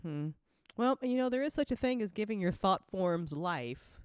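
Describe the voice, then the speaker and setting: A woman's voice: medium pitch, expressive, normal speed, clear, resonant tone, no room sound, studio quality. Playful, sweary woman host chatting casually. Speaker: host of a fantasy audio drama chat show, writer. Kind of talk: casual chat.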